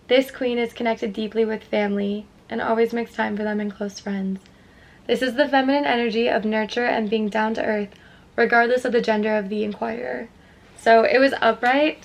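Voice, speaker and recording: A woman's voice: fairly high pitch, creaky. A young woman with a stuffy, sniffly nose, talking candidly and reflectively to her camera in a casual vlog. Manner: candid, self-questioning, trailing off. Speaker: vlogger.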